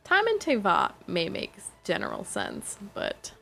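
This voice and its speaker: A young woman chats casually as she streams a game, her voice gravelly with fry and her pitch swinging around lively. She rambles and stops herself mid-thought while faint game music plays underneath. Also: unhurried. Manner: rambling, giggly, self-interrupting. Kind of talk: casual chat while gaming. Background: game audio and music.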